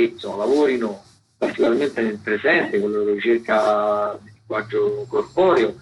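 A man hosting a radio arts programme, talking through theatre listings in a semi-improvised way, often searching for words and repeating himself. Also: medium pitch. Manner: hesitant, searching for words with repetitions. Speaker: radio host presenting a theatre events roundup. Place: radio studio. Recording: dull lo-fi radio sound, faint noise.

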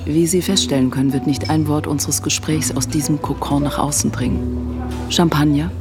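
A woman's voice: sits low, steady tone, moderate pace, natural voice. An actress as a cool, controlling powerful woman. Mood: poised, businesslike. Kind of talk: radio drama dialogue. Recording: music behind the voice. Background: music.

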